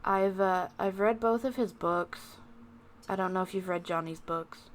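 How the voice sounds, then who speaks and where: A woman's voice: medium pitch, measured pace, slight background noise. A woman livestreamer chatting casually to viewers in a rambling, self-deprecating way, with music behind her. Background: background music.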